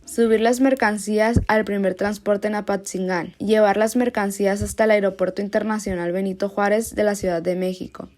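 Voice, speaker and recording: A woman's voice: mid-range voice, a little mellow. A woman presenting a scripted explanation in an instructional reading style.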